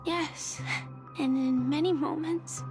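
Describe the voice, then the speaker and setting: A woman acting in a video game as an earnest young woman, reflective and measured as she recounts tragic history. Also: sits high, fairly even intonation, music in the background. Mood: tender, wistful.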